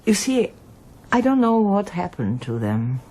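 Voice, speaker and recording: A woman's voice: mid-range voice, very animated, unhurried, dark tone. An actress playing a reserved, wistful older woman, a former pianist, in a radio drama, speaking with hesitant understatement. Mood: subdued, confiding. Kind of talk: radio drama acting, monologue and dialogue.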